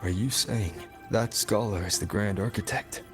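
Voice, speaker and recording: A man voicing a calm, steady wanderer hero in a game, speaking in short, even replies. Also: on the low side, moderate pace, music in the background, close to an everyday voice. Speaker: player character. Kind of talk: game cutscene voice acting.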